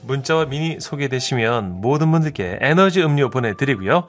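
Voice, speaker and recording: A man's voice: mid-range voice, lively intonation, moderate pace. A man co-hosting a radio show from a studio, agreeable and upbeat as he chats and reads out notices close to the microphone. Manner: agreeable, often chiming in. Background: faint background music.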